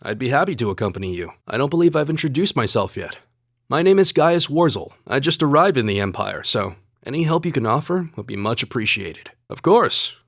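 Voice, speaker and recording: A man voicing a calm, courteous young spear fighter from the plains in a video game, speaking in an earnest, measured, polite way. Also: medium pitch, no room sound, studio quality. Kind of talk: scripted game dialogue and battle barks.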